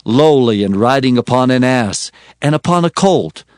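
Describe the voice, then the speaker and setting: An actor playing a fervent biblical prophet, declaiming solemn oracles in a dramatized scripture reading. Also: male voice, medium pitch, lively intonation, normal speed, natural voice. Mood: exultant, fervent. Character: fervent male Old Testament prophet proclaiming oracles. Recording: music behind the voice.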